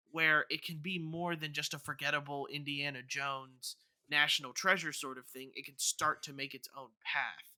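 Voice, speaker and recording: Guy podcast cohost, higher voice, really bright n clear, kinda rambles earnestly thinking out loud. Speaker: podcast co-host. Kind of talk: casual podcast discussion.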